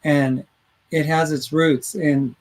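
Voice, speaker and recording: A man's voice: a little high, flat delivery, takes it slowly. A man hosting a talk radio show in casual chat, rambling through his points and reading listener comments aloud. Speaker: host.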